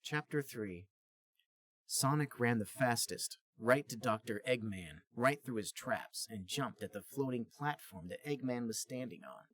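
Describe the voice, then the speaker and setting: A man's voice: mid-range voice, animated, a little slow, a bit bright. A man co-hosting a podcast, reading a story aloud as narrator and chatting casually between passages.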